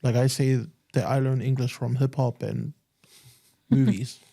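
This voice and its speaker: Earnest Tongan man, warm low tone. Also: little pitch movement, measured pace, gravelly. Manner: earnest, emphatic storytelling. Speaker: podcast co-host. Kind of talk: casual chat.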